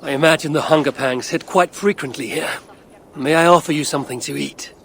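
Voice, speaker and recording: An actor playing a stern Norse god and father in a video game, speaking in a measured, formal, resolute way. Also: fairly high pitch, gravelly, a bit bright, slight background noise. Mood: firm, courteous. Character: stern Norse god father hunting for vengeance, one-eyed. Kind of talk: video game cutscene acting.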